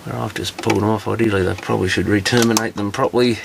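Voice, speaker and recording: Man, low voice with plenty of fry, casual workbench commentary as a repair hobbyist, noisy recording with clicks. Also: steady tone, quick pace. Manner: muttering, thinking aloud while working. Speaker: electronics repair hobbyist presenting a teardown. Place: repair workshop. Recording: clear background noise with clicks.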